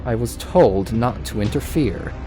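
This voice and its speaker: A man's voice: medium pitch, expressive, a little slow, natural voice. Man as a whiny, mocking immortal trickster, music behind. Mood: petulant. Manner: petulant, mocking. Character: whiny, teasing, all-powerful male trickster being.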